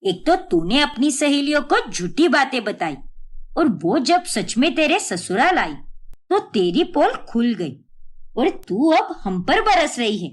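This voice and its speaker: A woman's voice: high voice, very animated, a little slow, heavily acted. A woman voicing a scornful older mother-in-law who scolds and blames, for a story. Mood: scolding. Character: sharp-tongued older mother-in-law, blaming and disdainful.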